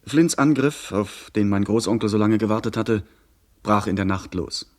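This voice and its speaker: An actor playing a young gentleman who recounts a pirate adventure as the narrator of a radio play, in measured literary storytelling. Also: lowish voice, close to an everyday voice. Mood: tense, narrating.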